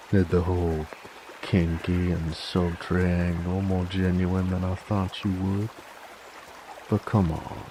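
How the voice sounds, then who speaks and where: A very low, gravelly cowboy bounty hunter with a wolfish side , acted by a man, gruff and cocky in an American Western drawl. He takes his time, taunting in folksy, profane phrases for an audio drama. Clear background noise sits behind the voice. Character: gruff, cocky male werewolf bounty hunter of the Old West. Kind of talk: dramatic roleplay audio monologue.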